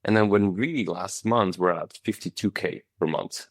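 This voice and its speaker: A man's voice: sits low, normal speed, no room sound, clean studio sound. A man from Flanders with a Flemish Belgian accent, answering conversationally as a podcast guest in an informal way, often quoting imagined dialogue. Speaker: company founder, podcast guest.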